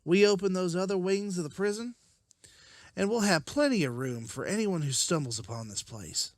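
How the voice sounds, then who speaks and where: A man's voice: high voice, expressive, moderate pace, no echo, clean studio sound. A man playing an earnest, persuasive leader of apocalypse survivors in a dramatized comic. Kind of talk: dramatized comic-book voice acting.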